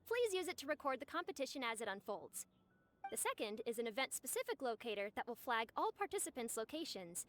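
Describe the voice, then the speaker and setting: A woman's voice: on the high side, sing-song, a little fast, fairly bright tone, soft background music. A woman playing a polite, cheerful young festival organizer in an anime game, with courteous and formal phrasing. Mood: cheerful, businesslike.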